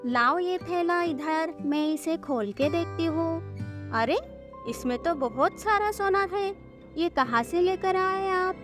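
A woman voicing a farmer's caring, inquisitive wife in an animated moral story. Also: very high-pitched, slow pace, full character voice. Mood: astonished. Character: concerned, questioning village farmer's wife. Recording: background music under the voice.

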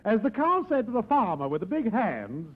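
An actor playing a gleeful, goading, eccentric broker in a theatrical, drawn-out manner for 1940s radio comedy. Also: male voice, sits high, sing-song. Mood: jolly. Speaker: comic character actor. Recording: muffled, noisy 1940s radio recording.

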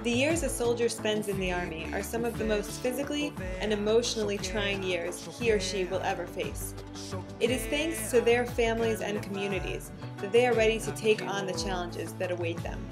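A woman reading a scripted documentary narration in an earnest, measured way. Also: medium pitch, over loud music.

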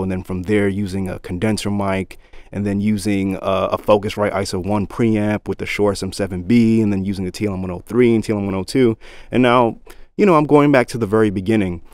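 Male reviewer, lowish groggy morning voice, informal delivery to viewers, dry home studio sound. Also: darkish tone, no echo. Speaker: microphone reviewer. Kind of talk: casual review talk to viewers. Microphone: budget condenser microphone through audio interface.

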